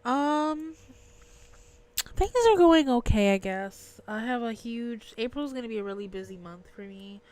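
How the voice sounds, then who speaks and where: A woman's voice: a little high, unhurried, a little mellow, no echo. A young woman chatting casually with her viewers on a livestream, her voice nasal and stuffy from crying. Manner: chatty, answers viewers' messages. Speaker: livestream host.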